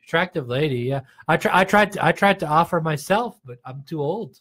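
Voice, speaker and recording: A man's voice: fairly high pitch, expressive, brisk, fairly bright tone. Easygoing man in his forties. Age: 40s. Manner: easygoing, rambling, lots of agreeing fillers. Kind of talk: casual chat.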